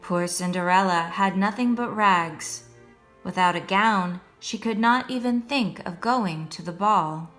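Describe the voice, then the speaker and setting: A woman reading a children's story aloud as a storyteller, in a measured, expressive way meant for young listeners. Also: mid-range voice. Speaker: storyteller, first-grade teacher. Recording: music behind the voice.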